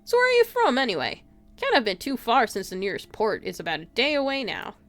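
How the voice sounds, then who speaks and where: A woman voicing a gruff but kindly older man while reading a visual novel aloud. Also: a little high, huge pitch swings, a little fast, a bit bright, soft background music, heavily acted. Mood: casual, probing.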